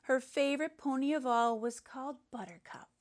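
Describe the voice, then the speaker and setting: A woman's voice: high voice, steady tone, normal speed, natural voice. A woman voicing a sentimental schoolgirl who earnestly and dramatically tells a princess fairy tale from a storybook. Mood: sweet, dramatic.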